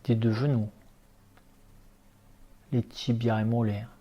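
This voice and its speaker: A man leading a guided relaxation meditation as an instructor, speaking calmly in short, unhurried instructions. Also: mid-range voice, highs cut off. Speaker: yoga nidra relaxation instructor.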